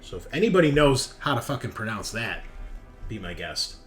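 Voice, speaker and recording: A man's voice: fairly low pitch, very animated, normal speed, over music. A man narrating a tabletop roleplaying game as game master, with casual, off-the-cuff storytelling.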